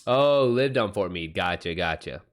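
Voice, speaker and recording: A man's voice: low-pitched, lively intonation, moderate pace. Casual American guy chatting on a livestream. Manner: informal, slangy, swears freely. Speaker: livestream host. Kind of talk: casual chat with livestream viewers.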